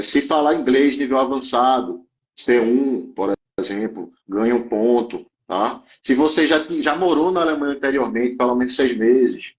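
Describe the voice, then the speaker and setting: A man walks through a set of rules in an interview setting at a steady, middling pitch. He keeps checking that his listener follows and often restarts half-finished phrases. The sound is a little dull and muffled. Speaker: guest explaining German immigration rules. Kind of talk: explanatory interview talk.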